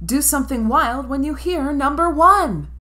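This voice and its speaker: A woman voicing an excited, bossy big-sister girl in a children's storybook, exclaiming and coaxing. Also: high voice, moderate pace, pitched above the performer's own voice. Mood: playfully bossy.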